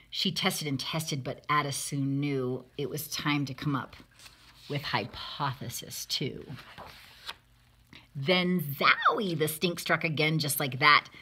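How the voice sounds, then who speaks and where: A woman's voice: low voice, pushed and bright, slightly rough. A woman reading a rhyming children's picture book aloud, expressively, with dramatic emphasis. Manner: dramatic emphasis, acting out lines. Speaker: storybook reader.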